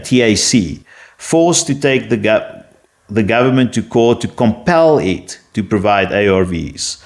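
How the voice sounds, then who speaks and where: A South African man whose first language is Afrikaans, reading a prepared script and then rambling loosely in a casual rant full of fillers and restarts. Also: mid-range voice. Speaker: political commentator.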